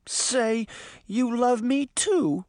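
A man voicing an excitable, lovestruck woman who is bold and pleading, in an audiobook story. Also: sits high, moderate pace, no room sound, studio quality, pitched above the performer's own voice.

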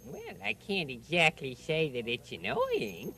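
A man's voice: medium pitch, wildly swooping pitch, a little slow, sharp tone, heavily acted. A man voicing a mild, good-natured, folksy puppet fellow in a children's show, speaking hesitantly. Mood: mild, unsure. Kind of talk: children's television puppet play.

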